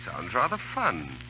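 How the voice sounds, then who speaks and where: An actor playing an amused, teasing army officer with a wry manner in an old radio drama. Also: male voice, mid-range voice, exaggerated voice. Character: teasing, amused male army officer, light-hearted comrade. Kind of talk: 1930s-40s radio drama acting. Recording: muffled old radio recording with hiss.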